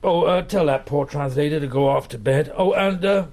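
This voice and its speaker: Man playing a gravelly, probing police detective chief. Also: on the high side, a little mellow, lightly acted. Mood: brisk, weary. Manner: deliberate, probing, insistent questioning with pauses. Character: shrewd, relentless male police detective chief, middle-aged. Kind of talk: radio drama acting.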